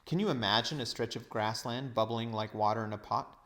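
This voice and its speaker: A man with a medium-pitched voice narrates a children's storybook. His phrasing is deliberate and expressive, made for reading aloud.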